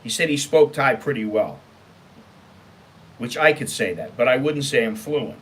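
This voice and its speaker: A man's voice: mid-range voice, expressive, moderate pace, poor audio. An American man hosting a casual storytime show, blunt, sweary and exasperated as he reads out and comments on emails. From United States. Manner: emphatic, exasperated. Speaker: online storytime show host.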